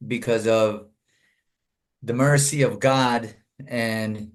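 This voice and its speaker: A man's voice: mid-range voice, fairly even intonation, slow pace. A middle-aged man from Colombia, a guest telling his life story in an earnest, rambling way, full of fillers and restarts, over a video call.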